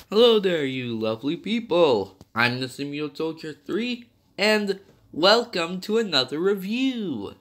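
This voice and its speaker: A man's voice: a little high, fairly bright tone. Rambling young man, slightly nasal. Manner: rambling, self-correcting, many fillers. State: partly sick, slightly nasal. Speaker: game reviewer. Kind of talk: casual video game review.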